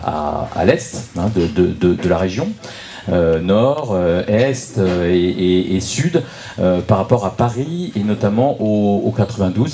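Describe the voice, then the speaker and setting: A man giving an unscripted explanatory presentation at a public meeting, speaking haltingly and often repeating words as he searches for phrasing. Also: fairly low pitch, dark tone. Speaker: local political speaker introducing a debate.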